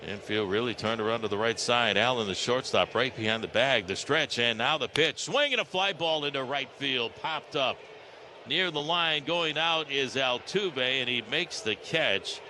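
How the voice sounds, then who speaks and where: A man's voice: mid-range voice, animated, moderate pace. American man calling baseball, noisy broadcast. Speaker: baseball radio play-by-play announcer. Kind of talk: live play-by-play commentary. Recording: clearly noisy live ballpark radio broadcast.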